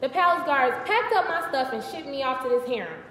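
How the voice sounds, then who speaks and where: A woman's voice: high-pitched, animated, fairly quick, fairly bright tone, voice pushed up. A young woman performing a live theatrical monologue as an indignant, wry biblical queen, conversational and emphatic. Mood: mournful, bitter. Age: early 20s. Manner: conversational, sassy, emphatic, exasperated. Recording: distant mic, echoey hall, faint noise.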